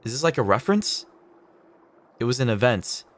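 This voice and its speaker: A man's voice: mid-range voice, big pitch swings, moderate pace. A male streamer chatting casually and reacting to a game in an informal, sweary, exclamatory way.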